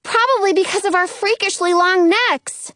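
Woman as a playful, high-pitched carefree girl. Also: moderate pace, fairly bright tone, no echo, clean studio sound. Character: playful, carefree young girl enjoying the adventure. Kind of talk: children's storybook character voice.

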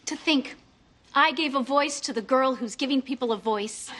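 A woman's voice: high-pitched, normal speed, sharp tone. An actress in a sitcom playing a doting, gushing mother. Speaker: proud mother character. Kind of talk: sitcom acting.

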